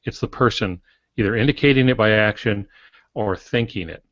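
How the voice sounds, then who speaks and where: A man co-hosting a podcast, teaching conversationally in a measured, instructive manner. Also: fairly low pitch, fairly bright tone. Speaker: podcast co-host. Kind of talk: conversational teaching on fiction writing. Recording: roomy, slightly muffled podcast recording.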